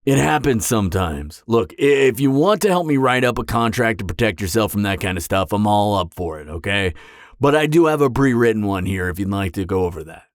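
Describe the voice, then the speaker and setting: A man's voice: fairly low pitch, animated, fairly quick, no room sound, studio quality, lightly acted. A man playing an awkward, earnest, by-the-book supervillain on a date in an audio drama, speaking in a hesitant, stammering, matter-of-fact way. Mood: sheepish, resigned. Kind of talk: conversational roleplay audio drama.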